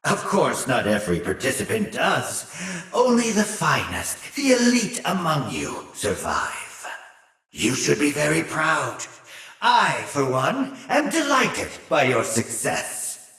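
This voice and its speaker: A man playing a cruel, gleeful, condescending older mad scientist in a video game, with a theatrical, sinister voice and mocking, drawn-out articulation. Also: fairly high pitch, huge pitch swings, pushed and bright, reverberant, in a large hall, heavily acted. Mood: pompous, gloating. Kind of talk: video game villain acting.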